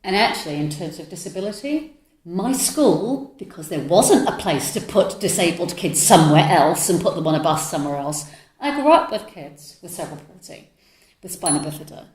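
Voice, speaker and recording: A middle-aged woman who grew up in New Zealand, giving long, animated answers as an author being interviewed. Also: on the low side, moderate pace, echoey room. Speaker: author and theatre maker guest. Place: literary festival.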